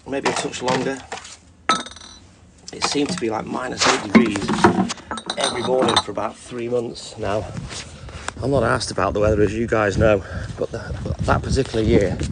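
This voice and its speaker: A man's voice: medium pitch, gravelly, heavy background noise. A man who works as a milkman chatting casually on a live stream, rambling through stories and greeting viewers by name, with a mixed English accent that belongs to no one region. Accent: mixed English, no clear regional accent. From English Midlands, raised partly in North Wales. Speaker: milkman livestreamer. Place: in and around a milk van with his dog.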